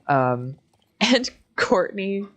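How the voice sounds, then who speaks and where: A woman's voice: medium pitch, big pitch swings, drawn-out words. A woman chatting informally and casually while playing a game on a livestream.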